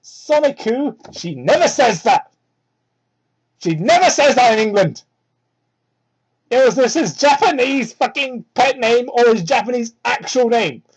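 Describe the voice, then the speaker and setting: A woman's voice: animated, a little slow, pauses often, breathy edge. A woman giving a comedic dramatic reading of fan fiction with exasperated, sweary asides, recorded on a crude microphone. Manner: exasperated, sweary, heckles the text. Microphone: cheap home microphone. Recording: crude, low-quality microphone.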